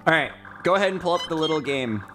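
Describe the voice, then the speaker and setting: A man's voice: a little high, animated, fast. A man in his twenties hosting a casual live stream, chatting at his desk in a small room. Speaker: streamer host. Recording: game music under the voice. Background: video game music.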